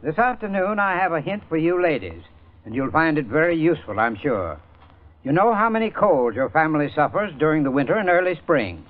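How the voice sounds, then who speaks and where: Highish-voiced man in a vintage radio commercial. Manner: folksy, instructive, friendly address. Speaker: radio advertising spokesman, heating expert. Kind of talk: scripted 1940s radio commercial. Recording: muffled old radio broadcast.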